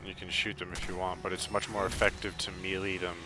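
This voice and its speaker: A man giving casual, halting, explanatory commentary for a video game walkthrough, with game music behind him. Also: sits low, little pitch movement.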